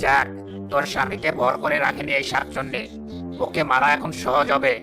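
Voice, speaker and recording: A man voicing a stern, commanding village holy man in an animated folk tale. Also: medium pitch, normal speed, creaky, music in the background, natural voice.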